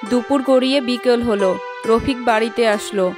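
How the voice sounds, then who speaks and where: A woman's voice: on the high side, normal speed, music in the background. A woman narrating a scripted children's story.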